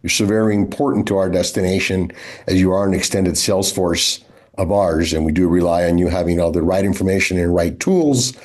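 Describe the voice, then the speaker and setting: A man presenting his destination to travel advisors in a steady, informative way, with frequent fillers. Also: low-pitched, fairly quick. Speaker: destination representative. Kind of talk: promotional panel presentation.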